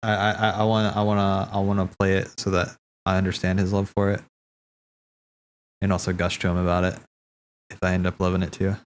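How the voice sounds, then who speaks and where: Low-voiced man, halting casual commentary, noisy recording. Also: completely flat delivery. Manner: halting, thinking aloud, stammering. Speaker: streamer hosting a cutscene watch party. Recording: clear background noise.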